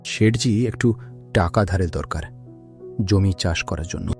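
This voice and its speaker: A poor, honest farmer acted by a man in a cartoon folk tale, with a lowish voice. He speaks earnestly and plaintively as a humble family man, with music clearly playing behind him. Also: unhurried, a little mellow. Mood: weary, pleading. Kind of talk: cartoon fairy tale acting.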